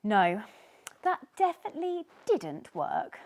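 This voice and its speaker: A woman's voice: mid-range voice, wildly swooping pitch, slow pace, darkish tone, pitched above the performer's own voice, exaggerated voice. A woman voicing an eager, helpful little fish friend with an encouraging, coaxing manner in a storybook. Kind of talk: children's storybook character voice.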